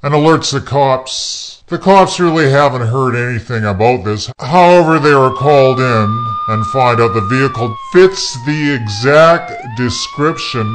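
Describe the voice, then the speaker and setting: A man's voice: mid-range voice, huge pitch swings, measured pace, few pauses. A man narrating a scripted radio play as its storyteller. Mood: storytelling. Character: storytelling narrator of a crime comedy.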